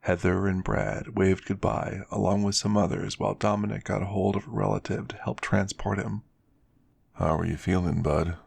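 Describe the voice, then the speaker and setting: A man's voice: fairly low pitch, normal speed, creaky, few pauses, darkish tone, husky, no room sound. A man narrating a scripted story in an ASMR style.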